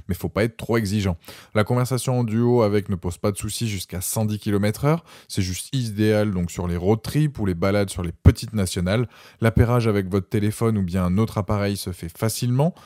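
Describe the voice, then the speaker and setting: A man's voice: lowish voice, mellow, no echo, studio quality. A man presenting a scripted product review voice-over, informally addressing viewers directly.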